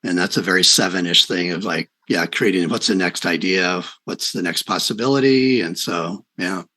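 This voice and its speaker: A man's voice: low voice, moderate pace, bright, forceful tone, slightly rough. A man hosting a conversational interview, explaining in short pieces and offering affirming remarks. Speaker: podcast host. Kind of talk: conversational coaching commentary on personality types.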